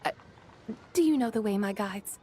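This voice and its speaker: An actress playing a solemn, logical, otherworldly young woman in a video game, speaking in a formal, deadpan, measured manner. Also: mid-range voice, a little hiss or hum, natural voice. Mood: composed. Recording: music behind the voice.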